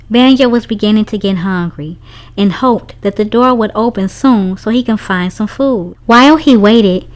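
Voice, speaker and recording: A woman reading a children's story aloud, narrating expressively for young listeners. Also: mid-range voice.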